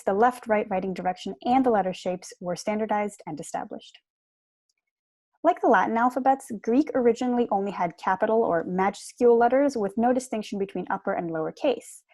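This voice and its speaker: A woman's voice: mid-range voice, mellow, no room sound. A young woman giving a prepared seminar lecture in an explanatory, articulate manner, recorded at home on a computer mic. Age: young adult. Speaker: lecturer, PhD student. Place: small room at home.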